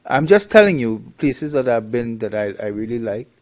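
A man's voice: mid-range voice, sing-song, normal speed. A man from Trinidad, a guest on the show, talks bluntly in a casual interview, with many restarts and fillers.

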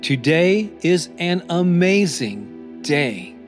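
A man reading scripted motivational affirmations as a podcast host, in a deliberate, encouraging manner. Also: fairly high pitch, very animated. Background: new-age music.

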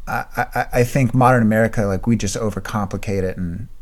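A young man co-hosting a podcast, talking in an earnest, casual way and clearing his throat now and then. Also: lowish voice, fairly even intonation, a little fast. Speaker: podcast co-host and writer. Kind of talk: casual chat.

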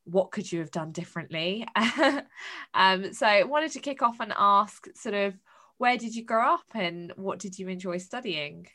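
A woman hosting a podcast, asking questions with enthusiasm and quick reactions. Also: medium pitch, expressive, bright, forceful tone. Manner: enthusiastic, frequent short exclamations. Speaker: podcast host. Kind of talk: casual interview chat.

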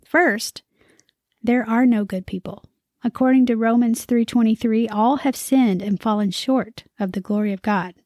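Upbeat woman podcast host, fairly high voice. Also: normal speed, darkish tone, dry recording, studio quality. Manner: upbeat, conversational, lightly humorous.

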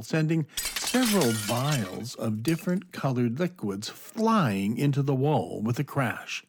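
A man narrating a children's audio drama as an expressive, measured storyteller. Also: mid-range voice, slightly rough.

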